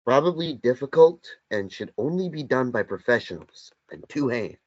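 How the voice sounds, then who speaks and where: A man playing a blunt, sardonic companion, lively pitch. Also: moderate pace, lightly acted. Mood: dry, sardonic. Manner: dry, blunt, confrontational. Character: blunt, sardonic companion who needles a captive. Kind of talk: script table read. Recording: dull lo-fi sound.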